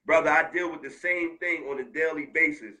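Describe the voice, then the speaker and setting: A man from the United States speaking heartfelt and animated in a casual conversation, full of street slang. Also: a little high, fairly quick.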